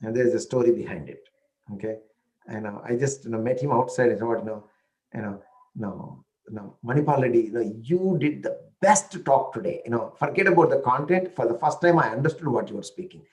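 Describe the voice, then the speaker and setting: A man's voice: medium pitch, lively intonation, brisk, a little mellow, poor audio. A man with an Indian accent who speaks an Indian language natively, telling stories in a casual talk and often checking in with "right?" and "you know".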